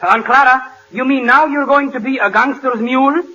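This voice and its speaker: An actor playing an excitable man in a 1940s radio comedy, blurting out mangled words. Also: extremely high pitch, moderate pace. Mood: excited. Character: excitable Spanish-accented man called Senor. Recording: dull hissy 1940 radio broadcast.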